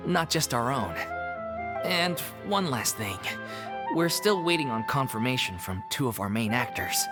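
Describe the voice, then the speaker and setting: An actor voicing a lively theater troupe member. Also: mid-range voice, lightly acted. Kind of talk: game cutscene voice acting. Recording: game soundtrack music behind.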